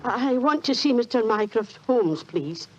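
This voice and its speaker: A woman's voice: a little high, animated, unhurried, very noisy. An actress with a British accent playing a humble, apologetic landlady in 1940s radio style. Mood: deferential, flustered. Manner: deferential, apologetic. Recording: muffled 1940s radio recording.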